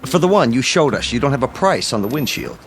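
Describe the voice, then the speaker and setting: An actor in a radio drama playing a practical young husband out car shopping, clipped and questioning. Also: male voice, medium pitch, big pitch swings, fast talker, slight background noise. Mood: puzzled, insistent. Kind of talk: old-time radio drama acting.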